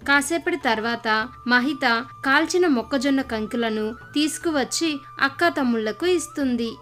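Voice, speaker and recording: A woman's voice: high voice, normal speed, fairly bright tone. A woman reading scripted narration for a children's story as its narrator.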